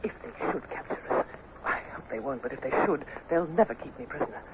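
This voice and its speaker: An actress playing a plucky, talkative British duchess in an old radio drama, insistent and grand, with a British accent. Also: female voice, low voice, very animated, fairly quick. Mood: insistent, proud. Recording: muffled, noisy old radio recording.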